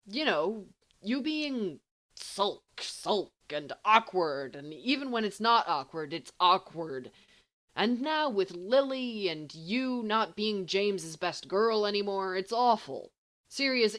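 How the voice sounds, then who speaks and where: A woman's voice: mid-range voice, big pitch swings, fairly bright tone, voice pushed up. A woman playing an exasperated, bookish teenage schoolboy in an audiobook, grumbling and dryly sardonic. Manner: grumbling, sardonic, halting when flustered.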